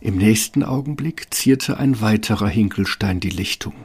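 A man's voice: lowish voice, normal speed, loud music behind the voice. A man narrating a fairy-tale radio play in a scripted storytelling style.

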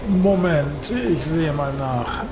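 A courteous hotel receptionist, played by a man, speaks in a fairly high male voice. His manner is formal and obliging, and loud ambient noise surrounds him in this radio play. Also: slow. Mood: polite. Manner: formal, service-polite. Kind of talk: audio drama acting.

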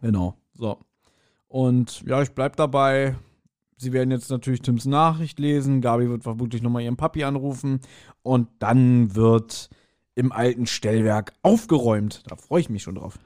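A man's voice: medium pitch, moderate pace, darkish tone. A man co-hosting a podcast, casually discussing an audio drama in a colloquial, speculative way. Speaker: podcast co-host.

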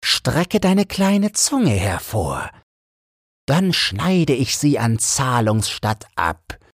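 A man's voice: medium pitch, very animated, dry recording, studio quality, voice pushed up, full character voice. A man voicing a greedy, menacing female sea witch in a storybook reading, drawing out the words with relish. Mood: taunting. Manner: slow, drawn-out, relishing menace.

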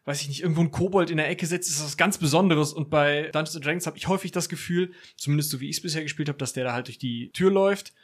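A man's voice: on the high side, fast talker, few pauses, breathy edge. A man chatting casually as a podcast guest, telling anecdotes in a colloquial, self-deprecating way. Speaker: podcast guest, hobby role-player. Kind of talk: casual chat.

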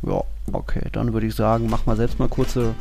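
A man's voice: lowish voice, wildly swooping pitch, brisk, gravelly, dark tone. A man co-hosting a podcast, talking sport in a casual, colloquial and slangy way. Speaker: football podcast co-host.

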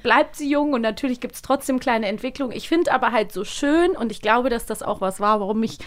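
A woman's voice: a little high, brisk. A woman hosting a casual podcast interview in a studio, talking effusively. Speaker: podcast host, voice actress.

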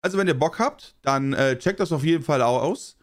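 A man, a podcast co-host with a cold, reading a sponsor spot in a jokey, casual way with big playful swings in pitch. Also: a little fast. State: has a cold.

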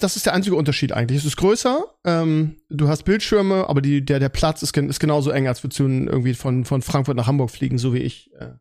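A man hosting a podcast in casual chat, rambling along and often halting to search for words and correct himself. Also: on the high side, darkish tone. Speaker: podcast host.

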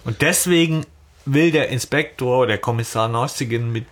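A man's voice: mid-range voice, normal speed. A man co-hosting a podcast, casually retelling and discussing a story. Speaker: podcast host.

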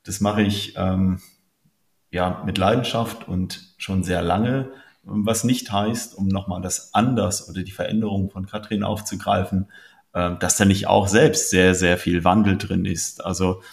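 A man's voice: low-pitched, fairly even intonation, a little slow, gravelly. A man from Germany, a business consultant speaking as an interview guest. He talks earnestly, with frequent hesitation sounds. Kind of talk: casual interview talk.

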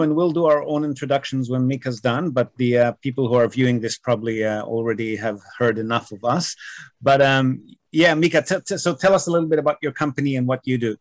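A man hosting a casual video call interview, chatty and somewhat halting, often repeating the starts of his words. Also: medium pitch, no room sound. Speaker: host of a real estate show.

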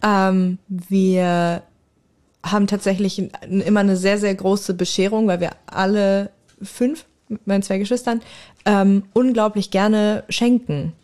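A woman's voice: mid-range voice, steady tone, unhurried, darkish tone. A woman chatting casually as a guest on a podcast. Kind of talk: casual chat.

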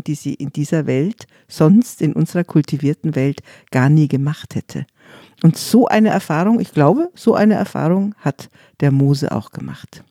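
A man discussing biblical callings in a lively, explanatory way, with a few hesitations. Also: on the high side, no room sound, studio quality. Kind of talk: conversational religious podcast discussion.

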